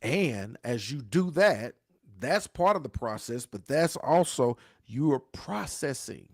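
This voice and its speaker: A pastor who talks to viewers in a preachy, repeating way and asks "right?" again and again. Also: male voice, mid-range voice, expressive, slow, dry recording, clean studio sound. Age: 40s. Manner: dialect grammar, says "y'all". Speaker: pastor hosting a grief talk show. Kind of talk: live-stream talk answering viewer questions.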